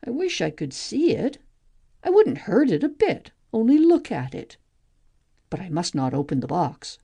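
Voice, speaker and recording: A woman acts out a restless, wondering young heroine of a mythic play. The voice is soft-edged and slightly husky, with very lively melody. She muses aloud, full of curiosity. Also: medium pitch, normal speed, full character voice. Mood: wondering, eager. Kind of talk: amateur audiobook play reading, expressive.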